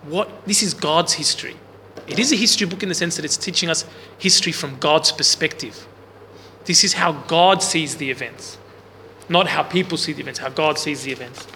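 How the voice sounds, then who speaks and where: A man with a loud, bright, slightly high voice teaches a Bible class in a big room, with no microphone. He explains casually and keeps putting questions to the group. The recording carries a long hall reverb and a faint hiss underneath. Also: moderate pace. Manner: loud voice, speaks without a mic. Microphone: no mic on the speaker, recorder in the room.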